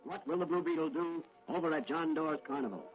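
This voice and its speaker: An actor playing a dramatic, urgent announcer narrating a 1940s radio serial. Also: male voice, a little high, fairly quick, lightly acted. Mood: suspenseful. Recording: muffled old radio recording. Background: loud dramatic music.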